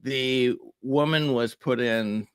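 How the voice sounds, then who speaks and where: A man's voice: mid-range voice, takes it slowly, clear, resonant tone, no echo, clean studio sound. A middle-aged man co-hosting a casual chat, laid-back and rambling. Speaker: magician and co-host. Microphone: studio mic.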